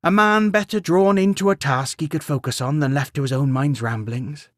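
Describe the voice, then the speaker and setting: A man voicing a gently persuasive widowed queen. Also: on the high side, normal speed, dry recording, studio quality, voice pushed up, natural voice. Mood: fond. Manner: gentle but firm persuasion. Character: grieving, shrewd, kindly widowed queen.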